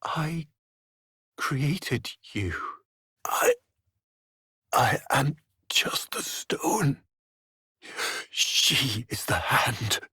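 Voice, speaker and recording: An actor playing an imperious, bitter, tormented aristocratic game villain, theatrical and breathy, lingering on his words. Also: medium pitch, big pitch swings, extremely slow, pauses often, sharp tone, no room sound, studio quality, exaggerated voice. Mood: pained awe. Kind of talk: dramatic video game villain acting.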